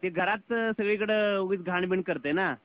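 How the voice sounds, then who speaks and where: A man's voice: high voice, normal speed, muffled recording. A man hosting a radio prank call, chatting over the phone. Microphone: radio broadcast of a phone call.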